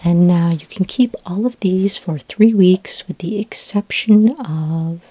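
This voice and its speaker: A woman whispering softly in a breathy voice as a gentle, helpful, slightly hesitant librarian in an ASMR roleplay. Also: lowish voice, slow pace. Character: friendly, patient futuristic library librarian. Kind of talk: soft-spoken ASMR roleplay. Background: computer keyboard typing.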